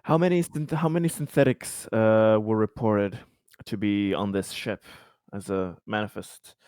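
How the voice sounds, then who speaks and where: A man playing a tabletop roleplaying game, speaking in character with deliberate, somewhat formal phrasing and casual asides. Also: fairly low pitch, steady tone, darkish tone, smooth, no room sound.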